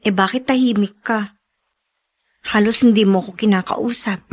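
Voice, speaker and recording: An actress playing a caring, anxious young wife in a radio drama, coaxing and fussing with concern. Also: female voice, mid-range voice, fairly even intonation, normal speed, natural voice. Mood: worried, coaxing.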